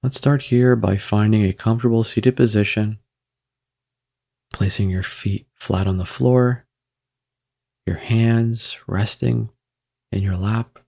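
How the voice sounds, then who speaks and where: A man guiding a meditation in a gentle, measured way, with frequent pauses between phrases. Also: lowish voice, fairly even intonation, creaky. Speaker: meditation guide. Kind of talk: guided meditation narration.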